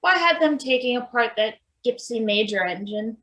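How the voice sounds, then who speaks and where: Mild, uneasy workman played by a woman, high-ish and hesitant, echoey room. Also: lively intonation, moderate pace, a bit bright. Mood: puzzled. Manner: hesitant, questioning. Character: hesitant, worried, mild male maintenance worker Izzy. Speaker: actor. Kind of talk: live table read of satirical play.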